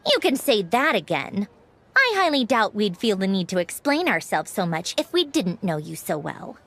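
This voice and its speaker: An actress playing a composed, candid woman in command in a video game, speaking in a dry, measured way. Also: medium pitch, big pitch swings, fairly bright tone, slight background noise. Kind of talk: video game cutscene acting. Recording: background music under the voice.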